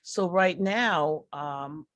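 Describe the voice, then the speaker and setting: A middle-aged woman, a comedy performer, telling personal stories in a candid, conversational way with deliberate pauses. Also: deep voice, no echo.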